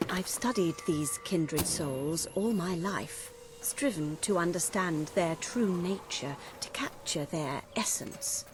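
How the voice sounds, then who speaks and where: An actress playing a fanatical alchemist witch in a video game, solemn, reverent and breathy in a dramatic monologue. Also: lowish voice, animated, slow, noisy background. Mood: hushed, yearning. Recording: clear music behind the voice. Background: game soundtrack music.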